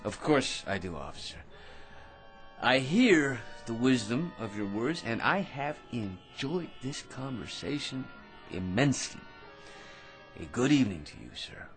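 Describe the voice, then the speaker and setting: Drunken male blowhard, slow rambling delivery, swooping pitch, mock-polite, stammering, radio drama, music underneath. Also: big pitch swings. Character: tipsy, rambling, cheeky barroom blowhard man, self-amused schemer. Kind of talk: old-time radio drama acting.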